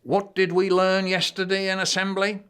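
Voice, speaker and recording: A man voicing a blustering, exasperated schoolteacher playing a judge, shouting for order in a storybook reading. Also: high voice, monotone, normal speed, smooth, voice pushed up.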